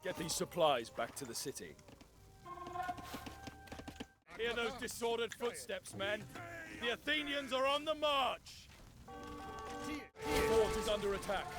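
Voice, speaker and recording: A man's voice: high-pitched, expressive, normal speed, over music. A man playing a bold, proud Spartan general in a game, giving rousing, commanding speeches and orders. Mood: urgent command.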